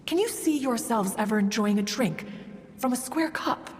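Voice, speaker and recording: A woman's voice: a little high, lively intonation, normal speed, a little hiss or hum, close to an everyday voice. A woman playing a proud, wistful ancient female immortal in a game, speaking in formal, archaic, pompous phrases. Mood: nostalgic. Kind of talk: scripted game dialogue, storytelling. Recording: long hall reverb, music behind. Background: music.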